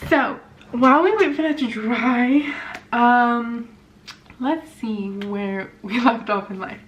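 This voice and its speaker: Young woman, 20s, casual rambling vlog chat, a touch high-pitched, dull camera-mic sound. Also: slow pace, music in the background. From California. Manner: chatty and rambling, many fillers and restarts. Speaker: vlogger. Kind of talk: casual chat while doing makeup. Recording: slightly dull sound with faint hiss.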